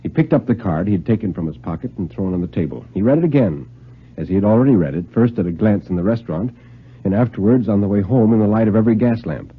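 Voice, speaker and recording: A man narrates a suspense story in vintage 1950s radio fashion. His pitch swings widely and dramatically, with deliberate pauses, and his voice is somewhat husky. The recording is muffled and narrow in band. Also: animated.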